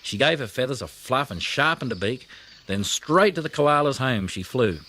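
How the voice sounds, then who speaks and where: A man reads a children's story in an expressive, animated voice with lively swings of pitch. Faint noise sits behind his narration. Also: moderate pace. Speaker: audiobook narrator.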